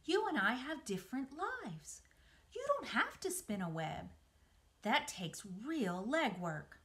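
A woman's voice: a little high, sing-song, slow, a bit bright, pitched above the performer's own voice, exaggerated voice. Lady doing a clever girl spider from a kids book, matter of fact, kindly teacher type. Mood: wry. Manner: precise, instructive. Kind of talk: children's storybook reading.

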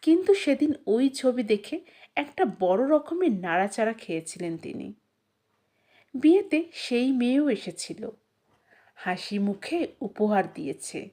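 A woman with a fairly high voice reads a literary story aloud as an audiobook narrator. Her pace is very slow and measured, with an expressive storyteller's delivery.

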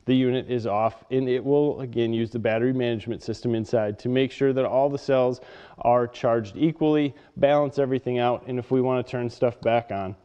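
A man walks through a product demonstration in a plain, matter-of-fact way. His voice is mellow and mid-pitched. The recording sounds muffled and carries a long reverb from the workshop around him. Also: steady tone, fairly quick, smooth. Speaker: product reviewer. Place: workshop with a workbench.

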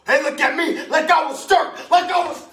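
A man's voice: very high voice, fairly even intonation, moderate pace, reverberant, far from the mic, background noise, pitched above the performer's own voice. A man playing a violent, boastful father who taunts his wife and repeats himself in a theatrical monologue. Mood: furious, taunting.

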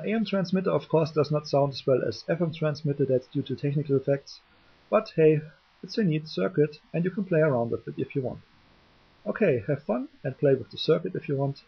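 A man gives a methodical technical explanation, halting slightly as he goes. His voice sits a little high for a man and is gravelly. The recording is dull and lo-fi, as from a cheap microphone. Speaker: electronics hobbyist presenter. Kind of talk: explanatory tutorial narration. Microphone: cheap DIY microphone, voice-over.

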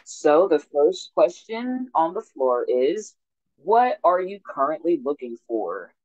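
A woman's voice: sits low, very animated, slow, a little mellow, dry recording, lo-fi sound. A woman moderating a singles show, calling on guests and posing questions to the group. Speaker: host of a singles dating show. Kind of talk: upbeat group video-call moderating, reading questions.

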